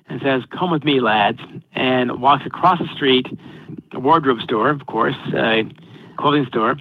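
A man's voice: medium pitch, no room sound. A man presenting a history story in a conversational way to a group, as a guest speaker. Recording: dull lo-fi online call audio.

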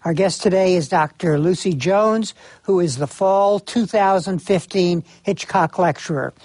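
A man hosting an interview, who introduces his guest in a formal, measured way and then asks questions. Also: sits high, a little mellow, slightly rough, dry recording. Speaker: interview host.

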